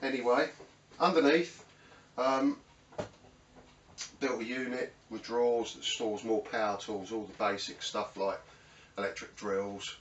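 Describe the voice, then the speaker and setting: A man's voice: on the low side, a bit bright. A middle-aged British man giving a casual, chatty, unscripted tour as host of a woodworking channel. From United Kingdom. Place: small cluttered indoor home workshop. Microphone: camera mic a few metres away. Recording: roomy, distant mic, faint background noise.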